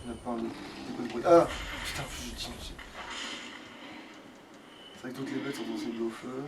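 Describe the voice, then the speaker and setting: A man's voice: medium pitch, little pitch movement, brisk. A man doing casual gaming commentary with a friend, grumbling and swearing as he plays. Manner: foul-mouthed, grumbling. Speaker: let's player playing the game. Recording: distant mic, loud background noise.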